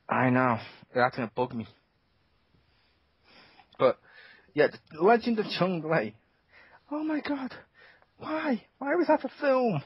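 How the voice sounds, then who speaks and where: A man's voice: high-pitched, sing-song, takes it slowly, pauses often, husky, no room sound. A man hosting a podcast, chatting in a rambling, jokey way. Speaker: podcast host. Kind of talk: casual chat. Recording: dull lo-fi dry sound.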